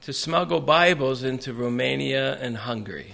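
An American man preaching to a congregation in short phrases, pausing for an interpreter after each one. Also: mid-range voice, vocal fry, sharp tone. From United States. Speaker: visiting preacher.

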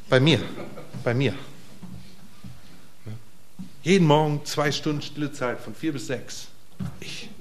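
A middle-aged man preaching a casual sermon to a congregation in a church, asking them questions and swinging between emphatic bursts and quiet, soft asides. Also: on the high side, slight background noise. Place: church hall before a congregation.

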